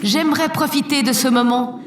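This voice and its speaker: A woman's voice: high voice, moderate pace, background noise, natural voice. A woman playing a solemn president of a spaceship colony, giving a formal, declamatory speech in an audio drama. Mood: ceremonious. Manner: stately, declamatory. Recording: echoey public-address hall, distant, crowd ambience.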